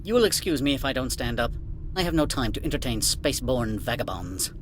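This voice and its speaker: An actor playing a haughty, irritable administrator in a video game, brusque and condescending. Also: male voice, mid-range voice, very animated, fairly quick, over music, close to an everyday voice. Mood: haughty, irritated. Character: haughty, irritable alien corporate administrator, male.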